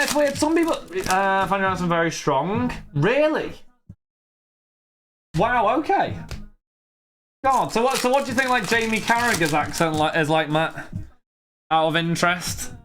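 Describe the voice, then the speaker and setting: A man's voice: a little high, a bit bright, a little hiss or hum. A young man in his twenties casually streaming, rambling and chatty as he answers his viewers' messages. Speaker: streamer opening trading cards. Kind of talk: casual live-stream chat.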